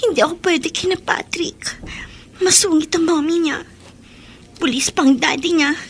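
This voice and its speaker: A woman's voice: very high-pitched, unhurried, a little hiss or hum. An actress playing a headstrong, bitter runaway teenage girl in a radio drama, emotional and thinking aloud. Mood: anxious, wary. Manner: emotional, talking thoughts aloud. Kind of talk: Tagalog radio drama acting.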